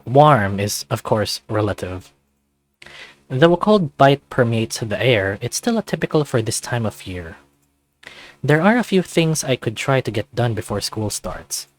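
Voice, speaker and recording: A man's voice: mid-range voice, no echo. A man reading scripted first-person narration from a visual novel.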